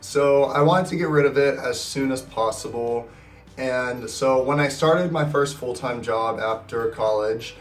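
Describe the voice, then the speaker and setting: A man's voice: mid-range voice, a little slow. A man in his twenties, sick and talking with a nasal, scratchy voice, in an upbeat, rambling way as he tells his story in a casual vlog. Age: 20s. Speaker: personal finance vlogger. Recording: roomy echo, distant mic, faint guitar music.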